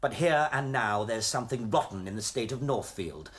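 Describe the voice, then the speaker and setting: An actor playing a deranged, bitter lecturer in a radio play, declaiming grandly like a Shakespearean and breaking into outbursts. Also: male voice, medium pitch, lively intonation, normal speed, fairly bright tone, natural voice. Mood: wry, bitter. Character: deranged theatrical male college lecturer turned gunman, manic and bitter.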